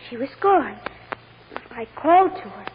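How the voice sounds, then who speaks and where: A woman's voice: high-pitched, animated, a little slow. A woman playing a timid, innocent little girl with simple, childlike phrasing in an old radio courtroom drama. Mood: hesitant, anxious. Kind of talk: 1940s radio drama acting. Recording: old radio recording, narrow band, light noise.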